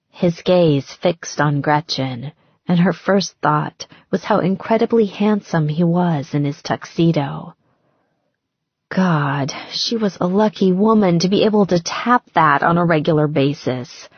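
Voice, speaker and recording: Low, husky woman narrating romance fiction. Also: no echo, highs cut off. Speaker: audiobook narrator.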